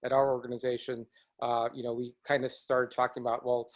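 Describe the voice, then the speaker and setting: A middle-aged man from the Chicago area, an executive director hosting a webinar over a video call, speaking earnestly and haltingly with frequent restarts. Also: medium pitch, completely flat delivery. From Chicago area, United States. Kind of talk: presenting in a webinar.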